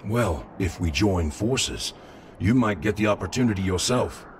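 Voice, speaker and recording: An actor playing a proud, determined fallen warrior lord in a medieval fantasy game, speaking with terse self-assurance. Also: male voice, low voice, animated, unhurried, a little hiss or hum. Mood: assured.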